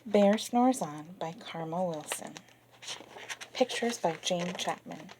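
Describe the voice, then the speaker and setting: A woman reading a children's picture book aloud, talking directly to the kids and dropping to a soft whisper on the refrains. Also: on the low side, noisy background. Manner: slow, expressive, talks directly to children.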